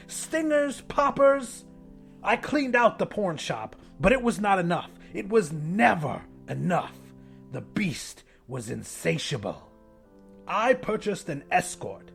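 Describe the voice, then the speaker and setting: A man's voice: very high-pitched, exaggerated voice. A man narrating an audiobook as a pompous, depraved widowed English professor in his sixties, with an ornate, theatrical delivery. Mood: feverish, emphatic. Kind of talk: dramatic first-person audiobook narration.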